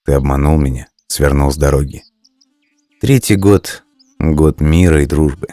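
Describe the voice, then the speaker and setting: A man voicing a wise, forgiving female snake in a folk tale, with measured, counselling delivery. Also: extremely low pitch, mellow, dry recording, clean studio sound. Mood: calm, wise.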